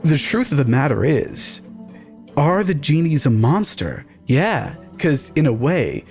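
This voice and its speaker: A man's voice: a little high, big pitch swings, measured pace, darkish tone, soft background music. A man speaking as a podcast guest, discussing fantasy games in an emphatic, candid, opinionated way. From Canada. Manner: emphatic, candid, swears casually. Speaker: podcast guest, game writer.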